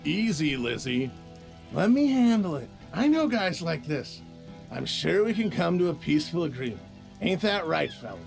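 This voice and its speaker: A man's voice: a little high, big pitch swings, moderate pace, gravelly, faint music behind. An actor playing a conciliatory male working man who urgently tries to placate others. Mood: placating.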